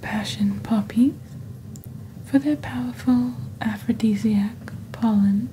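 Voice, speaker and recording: A young woman presenting products in a soft, breathy, near-whispered ASMR delivery, deliberate and scripted, close to the microphone. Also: on the high side, slight background noise, rough recording. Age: young adult. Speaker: ASMR host playing a shopping channel presenter.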